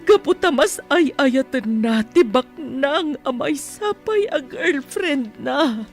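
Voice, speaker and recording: A woman playing an anguished mother pleading with her grown son in a tearful, drawn-out way, in Ilocano-accented radio drama style. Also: sits high, animated, full character voice. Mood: sorrowful confession. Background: faint background music.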